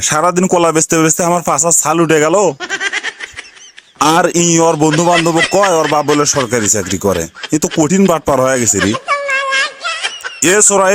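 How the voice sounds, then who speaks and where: A man's voice: fairly high pitch, expressive, noisy background, close to an everyday voice. A man playing a scolding rustic father. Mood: grumbling. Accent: North Bengal Bangla. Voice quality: fast, scolding, rustic dialect. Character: scolding middle-aged father, banana seller. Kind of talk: cartoon dialect comedy acting.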